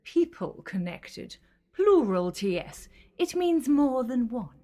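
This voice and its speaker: High-voiced, imperious villainess, played by a woman. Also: very animated, takes it slowly, dark tone. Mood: taunting, imperious. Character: desperate, menacing female Time Lord villain, scheming and bitter. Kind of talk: sci-fi audio drama acting.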